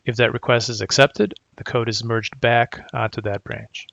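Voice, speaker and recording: Middle-aged man from Croatia, gravelly voice, methodical tech presenter, dry and slightly dull recording. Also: medium pitch, steady tone, fairly bright tone, no echo. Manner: measured, explanatory, methodical. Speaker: technical solutions architect presenting. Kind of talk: prepared conference presentation.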